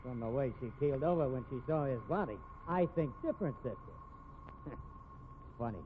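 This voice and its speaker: An actor playing a slangy, bullying police detective in an old radio crime drama, with sardonic tough talk. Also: male voice, mid-range voice, expressive, a little fast, close to an everyday voice. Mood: accusing. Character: gruff, streetwise, bullying male police detective. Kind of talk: 1950s radio drama acting. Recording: dull, noisy old radio recording.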